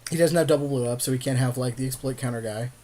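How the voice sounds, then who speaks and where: Guy chatting thru a card game, slangy n jokey, agrees easily, normal mid pitch. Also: very fast, warm tone. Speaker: podcast co-host commentating on a card game. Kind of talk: casual gameplay commentary.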